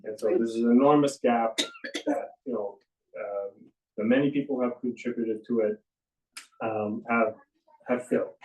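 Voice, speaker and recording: A man who owns a bookstore, opening a reading event with an earnest, halting welcome full of fillers and thanks. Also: steady tone, a little mellow, far from the mic. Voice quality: deep voice. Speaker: bookstore owner hosting a reading. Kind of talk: casual event welcome speech. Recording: echoey room, voice from afar, faint noise.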